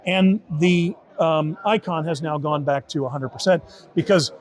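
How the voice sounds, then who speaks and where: Man, slightly high pitch, informal demo talk, step-by-step explaining. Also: moderate pace. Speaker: beta test supervisor giving a product demo.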